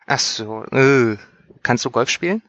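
Bright, deadpan joking man. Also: medium pitch, unhurried, no echo, highs cut off. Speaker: podcast co-host. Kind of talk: casual podcast chat.

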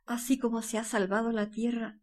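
A woman playing a wistful, fearful, obedient wife in a radio play, speaking in a hushed, hesitant, yearning way. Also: a little high, level tone, fairly quick, lightly acted. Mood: anxious, wistful. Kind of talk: slow dramatic radio play monologue.

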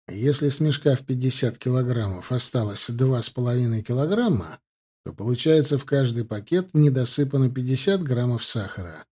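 Mellow, husky man narrating a story. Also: mid-range voice. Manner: acts out dialogue with lifted, livelier delivery. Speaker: audiobook narrator. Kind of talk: scripted first-person story narration.

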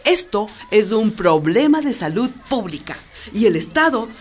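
An actress playing an assertive, sharp-witted school principal, firm, sardonic and articulate in a radio drama. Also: female voice, mid-range voice, very animated, unhurried, soft background music.

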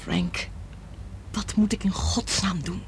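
A woman's voice: mid-range voice, big pitch swings, slow, slight background noise. An actress in a radio drama playing an anxious, determined woman scientist who speaks urgently and earnestly. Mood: anxious, distressed.

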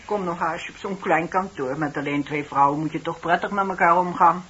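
An actress playing a kindly, motherly office worker of forty-five in a radio play, with a husky voice and a friendly, modest manner. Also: lowish voice, fairly quick, fairly bright tone, slight background noise, natural voice. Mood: matter-of-fact. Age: 40s. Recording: dull, muffled old radio recording.

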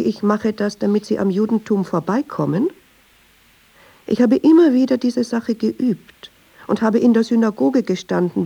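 A woman's voice: mid-range voice, creaky, warm tone, breathy edge. An actress playing a candid, uneasy middle-aged woman in a radio drama, speaking reflectively in short, observant clauses. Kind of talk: radio drama dialogue and inner monologue.

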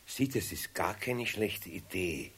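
Husky, animated Bavarian craftsman. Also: deep voice, slow, full character voice. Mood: friendly, bashful. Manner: chuckling, homely, hesitant. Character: kindly, good-humoured older male Bavarian master carpenter. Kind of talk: 1970s children's radio play acting.

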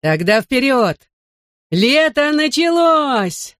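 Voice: high voice, sing-song, slow pace, fairly bright tone, no room sound, clean studio sound, voice pushed up, full character voice. A narrator voicing an eager, bold boy in an audiobook, rallying friends with exuberant calls.

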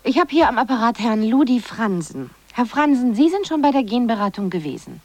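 Radio reporter, polite, brisk, animated intonation, hissy broadcast, radio drama. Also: female voice, on the high side. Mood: bright, official. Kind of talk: radio news presenting, acted.

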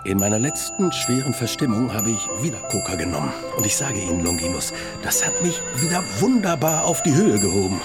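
A man's voice: on the low side, big pitch swings, a little fast, hardly any pauses, loud music behind the voice. An actor in a German radio drama playing a vain, self-pitying male psychiatrist with a grandiose, theatrical delivery. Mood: euphoric boasting. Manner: grandiose, theatrical, swooping between boast and wail. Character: Sigmund Freud, vain, self-pitying, hysterical cocaine-loving man.

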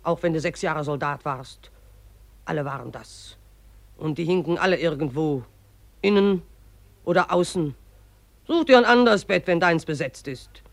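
An actress in a radio play voicing the river itself as a gruff, mocking old woman who scolds in an earthy, derisive way. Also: sits low, animated, normal speed, long pauses, no room sound. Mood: stern, scolding. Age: older.

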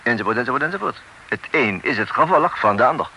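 A man's voice: mid-range voice, animated, quick pace, sharp tone, husky, exaggerated voice, poor audio. An actor with a French accent playing a worldly, wry male official in a radio play, dry and knowing, chuckling and dropping French words into his speech. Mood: confiding. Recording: old radio recording with faint hiss.

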